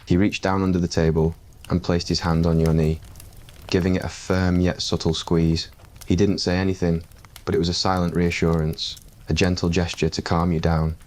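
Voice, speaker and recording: Male ASMR narrator, very low and steady, calm second-person storytelling. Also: moderate pace.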